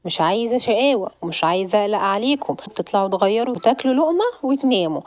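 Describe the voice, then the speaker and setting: A caring mother, rushed and on her way out, gives her children a quick run of instructions, voiced by a woman. She talks at a fast clip, and the sound is dry and close. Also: mid-range voice, animated, a little mellow, no echo, natural voice. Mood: hurried. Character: hurried mother, caring and busy.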